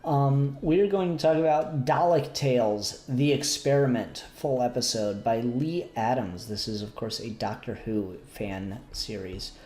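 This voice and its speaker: A man's voice: mid-range voice, a little slow, few pauses, warm tone. Man in casual podcast chat, echoey room. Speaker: podcast co-host. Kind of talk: casual review discussion. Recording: roomy echo, noticeable background noise.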